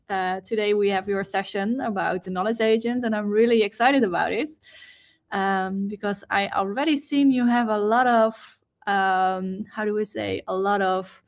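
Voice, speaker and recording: A woman hosting a live online session, casually introducing a guest in a loose, hesitant way. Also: mid-range voice. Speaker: livestream host. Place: online livestream.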